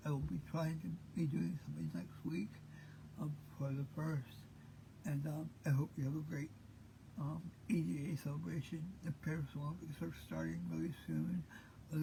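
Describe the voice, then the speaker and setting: A man in his fifties speaks in a gravelly, mellow voice, tired and short of breath. He talks haltingly with many pauses and fillers. A faint hiss sits behind him. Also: on the high side, poor audio. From Maine. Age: 50s. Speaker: host. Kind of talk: unscripted monologue.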